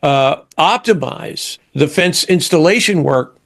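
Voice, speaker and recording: A man answering an interview question in a halting way, often stopping and restarting his phrases. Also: a little high, expressive, vocal fry, fairly bright tone.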